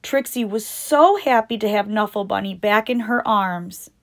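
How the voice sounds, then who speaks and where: A woman reading a picture book aloud as a storytime host, deliberate and expressive for young children. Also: mid-range voice. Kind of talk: scripted picture-book narration read aloud.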